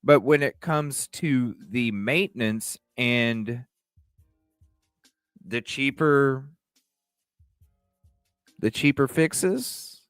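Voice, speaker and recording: Slow-talking man with a clear, smooth voice. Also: mid-range voice, animated, fairly bright tone, no echo, studio quality, faint music behind. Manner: repeats phrases, asks rhetorical questions. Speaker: livestream host. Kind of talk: casual speculative breaking news commentary.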